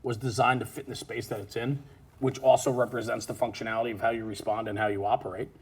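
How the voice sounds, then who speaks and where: Male interview host, slightly husky mid-range voice, keen and conversational. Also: medium pitch, level tone, fast. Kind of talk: conversational interview about fire apparatus.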